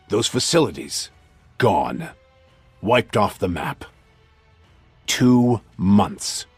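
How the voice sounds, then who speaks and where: A man playing a stern, domineering older military commander in a dramatic video game, gruff, scornful and commanding. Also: lowish voice, no echo, heavily acted.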